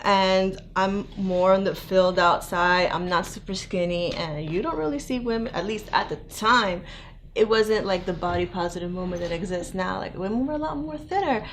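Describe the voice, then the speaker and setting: A young woman from New York, raised in South Florida, chats about herself as an interview guest. She talks loosely and eagerly, leaning on "like" and laughing easily, at a middle pitch. A light hiss sits under her voice. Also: normal speed. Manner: chatty, peppers speech with "like", laughs easily. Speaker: guest model. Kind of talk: casual interview chat.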